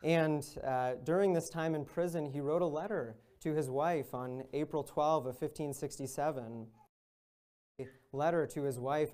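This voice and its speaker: A young man, a visiting minister, preaching a sermon at a pulpit in an earnest, measured way that rises on exhortations. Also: a little high, warm tone. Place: church room with large windows, at a pulpit.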